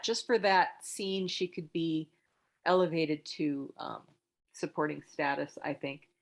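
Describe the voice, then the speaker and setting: A woman hosting a table read, giving informal, tactful feedback to the group in a halting, encouraging way. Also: fairly low pitch, creaky.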